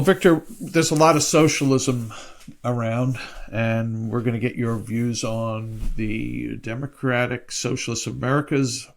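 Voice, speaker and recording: A man with a gravelly voice at an ordinary pitch hosts a podcast. He gives short agreements as his guest talks and reads promos with an upbeat push. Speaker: podcast host.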